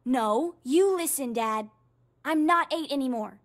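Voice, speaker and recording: Plucky little girl for a video game, high voice, earnest and stubborn. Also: expressive, measured pace. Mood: determined, pleading. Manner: earnest, insistent. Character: plucky, stubborn young daughter, about nine or older. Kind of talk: video game dialogue acting.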